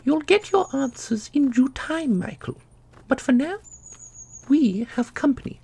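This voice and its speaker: A woman's voice: sits high, animated, measured pace, mellow. A woman playing an ancient, secretive divine custodian in a fantasy audio roleplay, knowing, theatrical and condescending. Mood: solemn, secretive.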